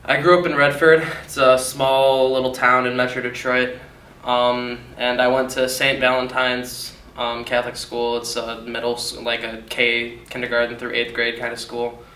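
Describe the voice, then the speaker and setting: A young man, nineteen, stumbles through his answers with lots of fillers and restarts. His voice is bright and keeps to a flat, narrow pitch. He sounds set back from the mic in an echoey room with faint noise. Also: far from the mic. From Michigan, USA. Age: young adult. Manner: halting, many fillers and restarts. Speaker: college student interviewee. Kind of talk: casual interview answers.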